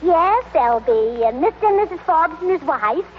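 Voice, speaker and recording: An actress playing a scatterbrained, chattering wife and hostess in old radio comedy style, breezy and muddled, on a muffled, noisy recording. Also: female voice, extremely high pitch, animated, moderate pace. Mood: confiding, excited. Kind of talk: 1940s radio comedy acting.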